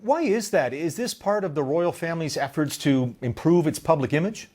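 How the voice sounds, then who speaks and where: A man hosting a radio interview, asking his guest questions in a polite, measured way. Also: on the high side, lively intonation, a little mellow. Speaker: radio host.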